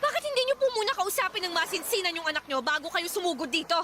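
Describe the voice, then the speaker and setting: High, fast, aggrieved young wife. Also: female voice, sing-song, noisy background. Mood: exasperated. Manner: indignant, pleading, fast-talking. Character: aggrieved, feisty young wife and mother, fighting back. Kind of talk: Filipino radio drama acting.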